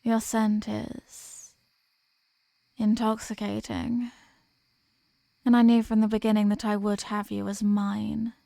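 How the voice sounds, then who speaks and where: A woman's voice: mid-range voice, completely flat delivery, slow pace, vocal fry, long pauses, dark tone, no room sound, studio quality. A woman playing a possessive, dominant vampire in an ASMR roleplay, speaking in a teasing, condescending manner with formal phrasing. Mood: possessive, soothing. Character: possessive, dominant female vampire toying with captive prey. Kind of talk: ASMR roleplay, scripted intimate monologue.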